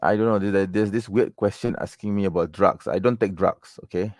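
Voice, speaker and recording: Malaysian male livestream host, very low voice, dry close sound, loose rambling delivery with constant tag questions. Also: steady tone, a little fast, a little mellow, dry recording. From Malaysia, raised in Penang. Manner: rambling, many fillers and tag questions like "okay?" and "right?". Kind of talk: casual livestream chat answering viewer questions.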